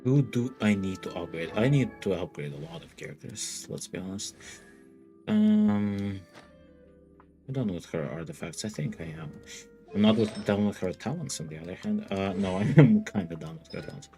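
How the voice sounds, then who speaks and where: Male streamer, low voice, rambling, self-talk, faint music behind. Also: very animated, normal speed, vocal fry, warm tone. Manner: rambling, thinks aloud, laughs often. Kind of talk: casual gaming livestream chatter.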